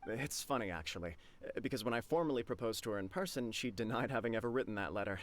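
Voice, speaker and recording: An actor playing an earnest, lovestruck young warrior in a game, polite and given to long-winded talk. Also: male voice, medium pitch, fast, slight background noise, lightly acted. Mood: fondly reminiscing. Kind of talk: game character acting. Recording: faint music behind the voice.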